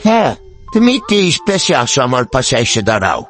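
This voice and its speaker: A man's voice: mid-range voice, wildly swooping pitch, fairly quick, fairly bright tone, faint music behind. A man voicing a henpecked, lazy older fox husband in a cartoon, wheedling and full of exclamations. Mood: excited, pleased. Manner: wheedling, exclamatory, colloquial village talk. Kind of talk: cartoon story dialogue.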